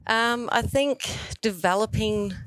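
A woman's voice: on the high side, slow, a bit bright, dry recording. A woman answering interview questions as a teacher, in an earnest and thoughtful way. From New Zealand.

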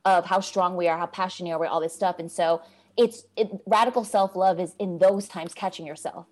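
A woman's voice: lowish voice, fairly even intonation, a little fast, darkish tone. A woman from New York talking in a casual podcast conversation as a guest, passionate and emphatic about self-growth. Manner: passionate, emphatic, spiritual self-help talk.

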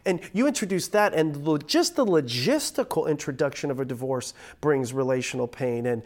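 Upbeat pastor, nasal with a cold. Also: male voice, fairly high pitch, animated, normal speed, a little mellow. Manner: upbeat, joking, conversational. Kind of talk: pre-recorded online church message.

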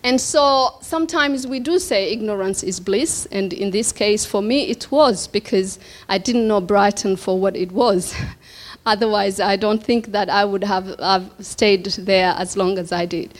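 A woman from Somalia gives a keynote talk at an evening event, speaking at a slow, unhurried pace. Her voice sits at a medium pitch. She pauses often and fills gaps with small hesitations as she tells her story. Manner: slow, reflective, with many pauses and fillers. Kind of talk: personal story speech. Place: event hall before an audience.

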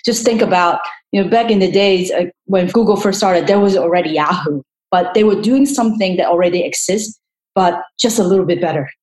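A woman's voice: lowish voice, fairly quick, darkish tone, poor audio. A woman. She talks casually as an interview guest, telling stories and explaining her views in a conversational flow. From Hong Kong. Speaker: venture capital partner, interview guest.

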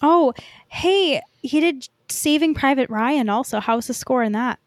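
A woman's voice: sits high, expressive, measured pace, dry recording. A woman co-hosting a podcast in casual pop-culture chat, informal and enthusiastic. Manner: informal, enthusiastic, swears freely. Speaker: podcast co-host.

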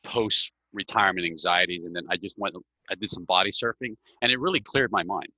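A man's voice: sits low, brisk, sharp tone, dry recording, rough recording. A middle-aged man from Hawaii, a guest chatting casually and telling stories, often tagging "right?" onto his sentences. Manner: storytelling, many "right?" tags. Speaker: podcast guest. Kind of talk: casual interview chat.

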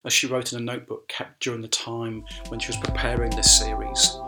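A man's voice: medium pitch, flat delivery, fairly quick, gravelly, loud music behind the voice. A man narrates a scripted academic essay in a formal, scholarly manner. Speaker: academic speaker.

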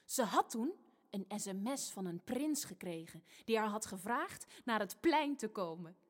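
An actress playing a storyteller in a theatrical fairy-tale radio play for families. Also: female voice, medium pitch, animated, a little slow. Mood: dramatic, storytelling. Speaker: narrator. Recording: long hall reverb.

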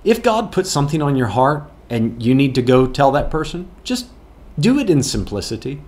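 A man's voice: mid-range voice, big pitch swings, normal speed, a little mellow. A man answering viewer questions as a pastor, talking through Bible verses in a plain, earnest way, with chuckles and restarts. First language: English, not Afrikaans. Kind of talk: casual Bible Q&A teaching.

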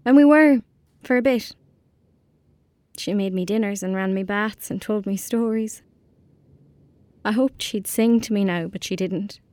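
A woman's voice: medium pitch, measured pace, pauses often, a little mellow, dry recording, lightly acted. A woman with a rural Irish accent playing a tender, wistful young girl in an audio drama. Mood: wistful, sad. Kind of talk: audio drama acting, monologue and dialogue.

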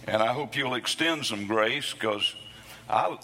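A man preaching a sermon, his voice hoarse from losing it between services, with a folksy, self-deprecating humor. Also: fairly low pitch, a little slow, bright, forceful tone, long reverb tail, rough recording. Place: church sanctuary.

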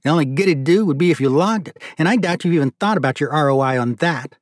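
A man voicing a frail but pushy, condescending old brother in an audiobook, with a gruff delivery and a thick Manhattan accent. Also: a little high, quick pace, no echo, studio quality, voice pushed up, natural voice. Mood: condescending, sarcastic. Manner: sarcastic, condescending, dramatic.

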